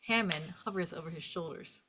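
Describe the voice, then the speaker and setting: A woman narrating the stage directions at a script table read in an expressive storytelling manner. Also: on the low side, a little fast. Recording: faint background noise and clicks.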